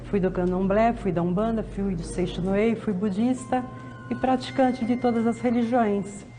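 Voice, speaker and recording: A woman's voice: mid-range voice, steady tone, moderate pace, mellow, faint music behind. A Brazilian woman in her fifties telling in a reflective way how she came to her faith. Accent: Brazilian Portuguese. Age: 50s. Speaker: convert interviewee. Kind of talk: personal testimony.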